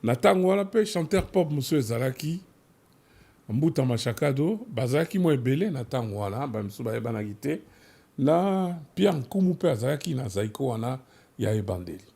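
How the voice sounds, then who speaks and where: A man with a creaky, gravelly voice and a soft, mellow tone. He speaks with a Kinshasa Congolese accent at a middling pitch. His delivery is that of a host telling history in a conversational way, switching between languages as he goes. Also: animated, a little fast. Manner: switches between French and Lingala. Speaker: host of a music history programme. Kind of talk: conversational presenting and storytelling.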